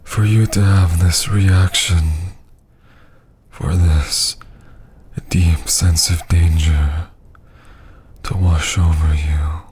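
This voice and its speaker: Man acting as a husky, very slow vampire lord. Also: low-pitched, fairly even intonation, a little mellow, exaggerated voice. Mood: amused menace. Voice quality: breathy, husky near-whisper. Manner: slow, taunting, theatrical. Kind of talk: ASMR roleplay acting.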